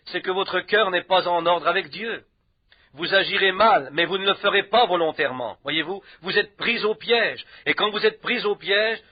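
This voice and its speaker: A man's voice: high voice, dry recording. A man delivering a sermon in an emphatic way, driving points home with short repeated affirmations. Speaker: preacher. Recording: dull, lo-fi, dry sound.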